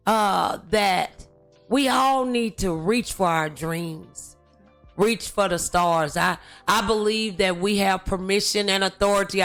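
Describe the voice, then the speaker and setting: Female talk show host, slow and gravelly with a forceful edge, encouraging, music faint behind. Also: mid-range voice. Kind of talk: encouraging motivational interview talk.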